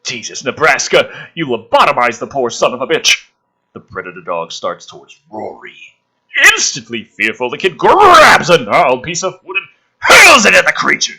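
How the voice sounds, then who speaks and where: A man with a husky, very bright and forceful voice performs a script read-through. His pitch swings wildly as he narrates, and his delivery is sarcastic and exasperated, peppered with swearing. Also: poor audio. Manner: sarcastic, foul-mouthed, exasperated outbursts. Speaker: gaming channel host reading and mocking a film script. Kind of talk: dramatic script read-through with ranting commentary.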